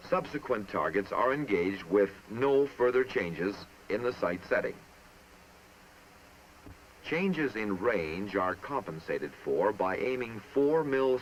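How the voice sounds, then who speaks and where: A man narrating a military training film in a formal, measured, instructive manner, reading from a script. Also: fairly low pitch. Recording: muffled, noisy old film soundtrack.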